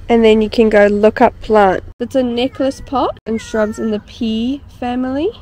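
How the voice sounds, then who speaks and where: A woman's voice: a little high, a little mellow, low-quality recording. A woman, chatting casually as she vlogs. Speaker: vlogger. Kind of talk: casual chatty vlog talk. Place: living room, car park and outdoor cafe. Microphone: camera at arm's length. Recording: handheld camera with background noise.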